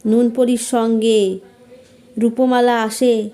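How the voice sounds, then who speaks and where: Female fairytale narrator: high-pitched, soft mellow tone, expressive storytelling delivery. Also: slow pace.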